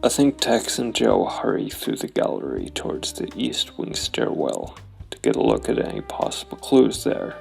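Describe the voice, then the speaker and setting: Creaky-voiced man narrating a game. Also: sits low, huge pitch swings, normal speed, soft background music. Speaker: solo role-player and narrator of a noir tabletop game. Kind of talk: thinking aloud, narrating game play.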